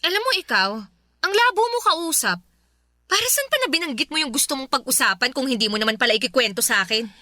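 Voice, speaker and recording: An evasive, easily irritated young girlfriend in a radio drama. Her high, sharp voice has a Filipino accent and a scolding, defensive manner. It is recorded very dry. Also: big pitch swings, quick pace, no echo, heavily acted. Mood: indignant. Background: faint music.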